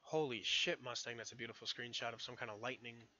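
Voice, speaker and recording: A man giving a casual, rambling, profane tutorial commentary while hoarse from losing his voice. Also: medium pitch, fairly even intonation, fast, sharp tone, dull audio. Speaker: modding tutorial streamer.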